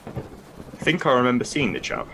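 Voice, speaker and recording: An actor playing a courteous military commander in an audio drama, with a terse, formal manner. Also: male voice, medium pitch, wildly swooping pitch, moderate pace, gravelly, noisy background. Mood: reserved, courteous.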